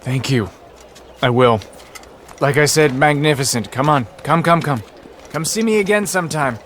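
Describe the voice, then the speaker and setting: A man acting a gentle monk amid clear noise. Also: fairly high pitch, moderate pace, lightly acted. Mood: grateful. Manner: gentle, courteous, hesitant. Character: humble, kindly, earnest monk collecting for the poor. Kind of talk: video game cutscene acting. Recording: noisy street ambience behind dialogue.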